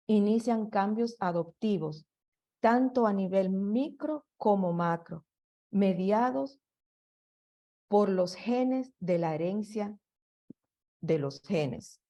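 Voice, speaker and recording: A woman's voice: mid-range voice, mellow. A woman reading a prepared history presentation aloud, stumbling and restarting on long technical words. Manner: apologetic about her Spanish.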